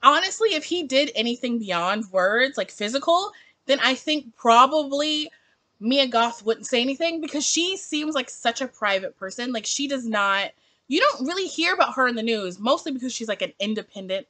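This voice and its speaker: A woman in her late twenties talks straight to camera in an opinion vlog. Her voice is bright and a bit high, and it leaps up and down in pitch. She is emphatic and peppers everything with "like.". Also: moderate pace. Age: 20s. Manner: animated, emphatic, heavy use of "like". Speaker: book vlogger.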